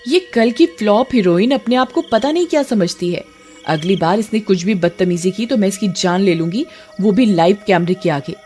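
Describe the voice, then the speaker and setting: A woman's voice: medium pitch, animated, moderate pace, darkish tone, soft background music, lightly acted. A woman voicing a hot-tempered contestant in a cartoon, indignant, scornful and threatening. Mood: angry scorn. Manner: scornful, snapping.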